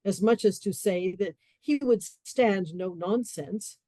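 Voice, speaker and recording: A woman narrating a radio play in a measured, literary storytelling manner. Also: mid-range voice, dark tone, dry recording.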